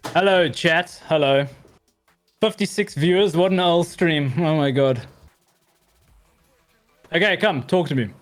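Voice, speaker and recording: A man's voice: fairly high pitch, unhurried, long pauses, soft background music. A man, a guest on a casual stream, chatting animatedly and swearing while greeting viewers. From South Africa.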